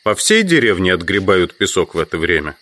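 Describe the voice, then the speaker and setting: A man's voice: low voice, big pitch swings, a little fast, fairly bright tone. An actor playing a proud, doubtful visiting man in an audio drama, questioning and slightly condescending. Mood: curious.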